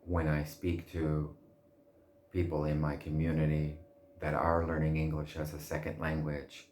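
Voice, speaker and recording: Very deep, slow-speaking man in a roomy space. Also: monotone. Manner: slow, deliberate, with pauses and soft laughs. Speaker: language learner vlogger. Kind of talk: casual monologue. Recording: roomy with faint background hum.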